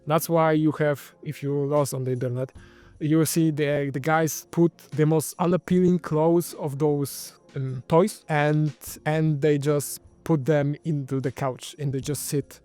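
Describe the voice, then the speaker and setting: Man, Polish accent, slightly high voice, rambling commentary, faint music underneath. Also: a little mellow. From Poland. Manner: rambling, self-correcting, wry. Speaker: channel host. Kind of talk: casual monologue commentary.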